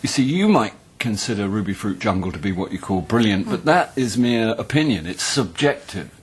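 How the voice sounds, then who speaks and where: An actor playing a wry, pedantic middle-aged literature tutor in a radio drama, with a husky voice and a southern English accent. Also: male voice, fairly low pitch, sing-song, fairly quick, exaggerated voice. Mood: hesitant, pedantic. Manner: hesitant, dry, articulate, pedantic.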